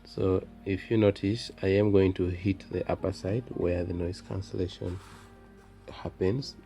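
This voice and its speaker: A man presenting an unscripted repair demonstration, talking in halting, piecemeal phrases as he works. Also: low-pitched, fairly even intonation, vocal fry, dark tone, soft background music. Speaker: phone repair tutorial presenter. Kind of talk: unscripted hands-on demonstration narration.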